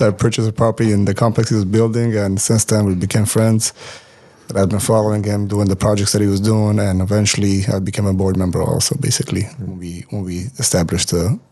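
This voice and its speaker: A man's voice: fairly low pitch, warm tone. A man in his forties from Armenia, talking casually as an interview guest. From Armenia, then Los Angeles from age twelve. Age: 40s. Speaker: podcast guest, nonprofit board member.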